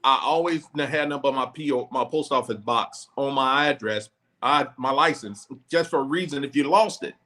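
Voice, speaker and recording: A man's voice: medium pitch, moderate pace, pushed and bright. A man hosting a casual podcast chat, explaining things at length in a loose, rambling way with frequent restarts. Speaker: podcast host; former law enforcement officer.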